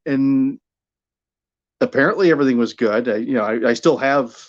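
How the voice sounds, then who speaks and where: A middle-aged American man, a sports broadcaster, telling his career story conversationally in a candid, self-deprecating and halting way. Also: medium pitch, dull audio. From Buffalo, New York area, United States. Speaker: sports radio play-by-play broadcaster, interview guest.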